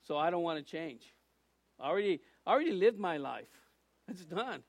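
An older man preaching in a relaxed, rambling way, with asides and little jokes. His voice sits high for a man. The sound is close and dry, with no room echo. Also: moderate pace. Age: 60s. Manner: folksy, rambling, with jokes and restarts. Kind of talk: informal sermon.

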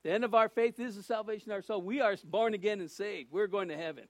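Folksy older preacher, high voice. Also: male voice, fast talker, no echo. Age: 60s. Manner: folksy, rambling, with jokes and restarts. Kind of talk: informal sermon.

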